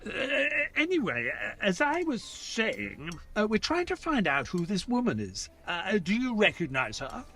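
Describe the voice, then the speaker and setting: A man's voice: high voice, wildly swooping pitch, pushed and bright, soft background music, exaggerated voice. An actor playing an educated, polite male doctor who narrates a detective tale in descriptive, scripted prose. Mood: polite, flustered. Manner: measured, descriptive storytelling, courteous in dialogue. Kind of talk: scripted narration and audio drama acting.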